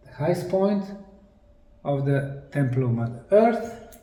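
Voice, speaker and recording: A man with a Bosnian accent giving an explanatory presentation, speaking emphatically in short, dramatic phrases with pauses. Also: fairly high pitch, big pitch swings, warm tone. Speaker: presenter, researcher. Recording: echoey room, distant microphone.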